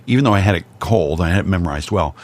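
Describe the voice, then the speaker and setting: A man's voice: low voice, animated, fast talker. An older man chatting as a guest, with dry, wry humor and frequent hesitant restarts. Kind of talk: casual chat.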